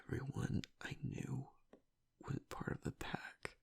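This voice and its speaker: A man playing a tough young werewolf pack alpha broken by grief in an intimate audio roleplay, halting and choked up, with a breathy, raspy voice. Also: close to an everyday voice. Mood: burdened, strained. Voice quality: breathy, raspy whisper with gravelly breaks. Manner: halting, fragmented, swearing under strain. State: choked up, grieving.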